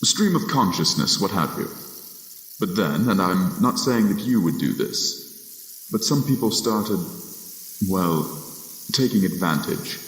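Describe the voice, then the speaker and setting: A young man acting in a radio drama as a courteous, wry official of death, speaking in measured, polite phrases with droll pauses. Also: low-pitched, lively intonation, reverberant, big-room sound, slight background noise, low-quality recording. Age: young adult.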